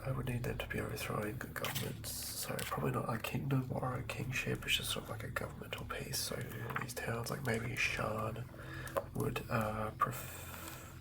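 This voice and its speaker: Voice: mid-range voice, creaky, low-quality recording. An ASMR host whispers up close, murmuring and thinking aloud in fragments while planning out a tabletop game. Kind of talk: whispered ASMR, improvised villain-building ramble.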